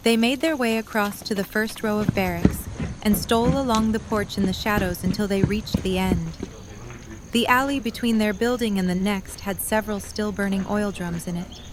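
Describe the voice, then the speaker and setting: A woman's voice: medium pitch, noisy background. A woman narrator reading a scripted story for an audio drama.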